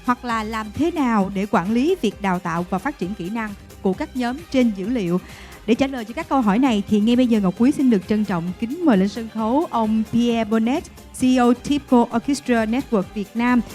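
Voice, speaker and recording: A woman's voice: on the high side, fast, a little mellow, soft background music. A woman acting as event emcee, giving a formal, ceremonious introduction from the stage.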